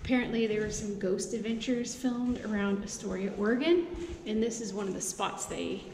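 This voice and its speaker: A woman's voice: mid-range voice, moderate pace. Woman talking in an echoey concrete bunker. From Texas. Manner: chatty, playfully spooked. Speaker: travel vlogger. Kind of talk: casual travel vlog. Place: old concrete bunker, indoors. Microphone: handheld camera at arm's length. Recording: echoey concrete bunker with long reverb and noise.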